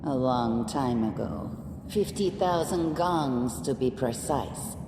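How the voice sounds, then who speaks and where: An actress playing a guarded, defensive underground tribe scout in a video game, speaking gravely and sceptically. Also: female voice, very deep voice, animated, slow pace, noisy background, full character voice. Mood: solemn. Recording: echoey hall reverb, music behind.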